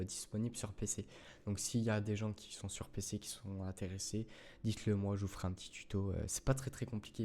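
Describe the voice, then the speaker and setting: A man's voice: fairly low pitch, warm tone, slight background noise. A man talking through a gameplay tutorial in casual French, rambling with many fillers and self-corrections as he addresses his viewers. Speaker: gaming youtuber.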